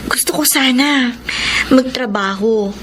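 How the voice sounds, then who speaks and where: A woman's voice: high voice, slow pace, a bit bright, a little hiss or hum. An actress with a Filipino accent playing an eager, earnest younger sister, a young student mother, in an audio drama. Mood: hopeful. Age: young adult.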